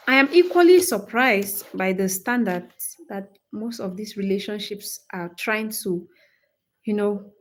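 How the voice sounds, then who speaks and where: Higher-voiced young Cameroonian woman livestreaming. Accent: Cameroonian English. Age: 20s. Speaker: livestream host, English-teaching creator. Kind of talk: casual livestream chat with viewers.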